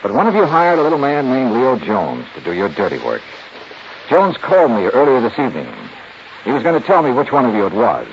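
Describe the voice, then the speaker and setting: A muffled, slightly noisy old radio recording of a shrewd American male investigator. He talks in a clipped, sardonic way with very lively intonation, confident and hard-boiled in the 1950s detective manner. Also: mid-range voice, fairly quick. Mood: accusing, confident. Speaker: lead actor and narrator.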